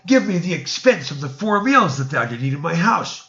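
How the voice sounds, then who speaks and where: A man's voice: fairly high pitch, big pitch swings, normal speed, sharp tone, dull audio, faint music behind, pitched above the performer's own voice, full character voice. A man voicing a grasping, brazen innkeeper and dream interpreter in a storybook, declaiming insistently in archaic speech. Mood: firmly demanding.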